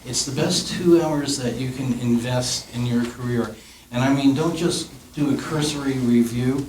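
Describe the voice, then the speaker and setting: A middle-aged man giving earnest, practical career advice as a panelist, clearing his throat now and then. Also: mid-range voice, moderate pace, darkish tone. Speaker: real estate panelist. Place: meeting room. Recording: echoey room, faint noise.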